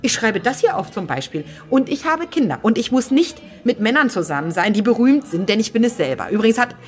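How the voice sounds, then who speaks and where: High-voiced, combative woman writer. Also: big pitch swings, fairly quick. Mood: defiant. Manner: argumentative, indignant. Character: combative, self-assured woman writer and mother. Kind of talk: naturalistic radio play acting.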